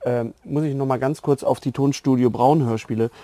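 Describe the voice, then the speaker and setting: A man's voice: medium pitch, fairly even intonation, brisk, mellow, dry recording. A man interviewing in a conversational way as a podcast host.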